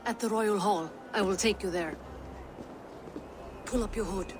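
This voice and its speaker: A woman's voice: medium pitch, measured pace, noisy background, close to an everyday voice. An actress playing a wary Norse woman, shaken after an attack, who talks in a guarded and urgent way in a game cutscene. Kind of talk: video game cutscene acting.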